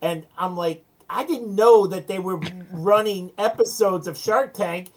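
A man's voice: sits high, unhurried. An American man, a small business owner, talking casually as an interview guest in a chatty, anecdotal, self-deprecating way.

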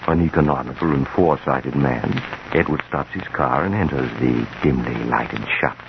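A man's voice: rumbling low, normal speed. A man playing an ominous, inviting host of eerie tales, narrating theatrically in 1940s radio horror style. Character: sinister, inviting male storyteller of eerie tales. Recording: very noisy, muffled old radio recording.

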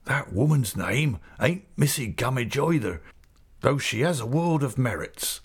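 A man voicing a rough, big-hearted seafaring uncle in an audiobook, effusive and excited, with a rustic East Anglian accent. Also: medium pitch, unhurried, pitched above the performer's own voice. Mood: confiding, devoted. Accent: East Anglian English rustic dialect. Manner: rapturous, effusive, breathlessly excited. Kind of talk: character voice in audiobook reading.

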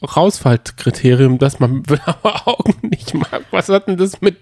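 A man's voice: on the high side, animated, unhurried, a little mellow, no echo. Man chatting casually, dry close sound. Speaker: co-host of a reality TV recap show. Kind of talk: casual bantering chat.

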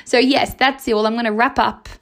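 Female podcast host and teacher: husky, strained, gravelly fry on a fairly high voice; chatty, encouraging delivery. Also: fairly even intonation, fairly quick, a bit bright. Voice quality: husky, slightly raspy. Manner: encouraging, chatty, acts out imagined dialogue. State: voice strained, huskier than usual. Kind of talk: casual motivational podcast chat.

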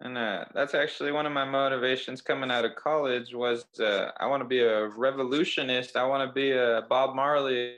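A young man hosts a podcast in easygoing conversation. He speaks earnestly, often pausing on "uh" as he thinks. His voice has an average pitch. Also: a bit bright, rough recording. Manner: earnest, with frequent "uh" fillers.

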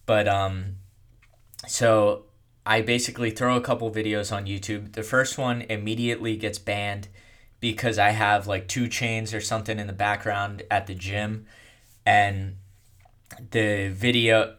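A young man telling his own story in a casual, rambling way, with a monotone delivery and lots of fillers. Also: lowish voice, moderate pace. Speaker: content creator.

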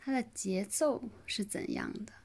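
A woman leading a guided relaxation meditation in a gentle, soothing manner, with pauses between short phrases. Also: mid-range voice, wildly swooping pitch, vocal fry, slightly rough. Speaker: yoga nidra meditation guide.

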